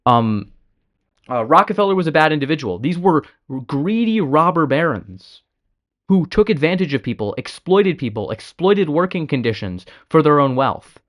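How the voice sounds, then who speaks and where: A man's voice: on the high side, big pitch swings, moderate pace. A man hosting a reaction video, commenting bluntly and with exasperation, swearing often.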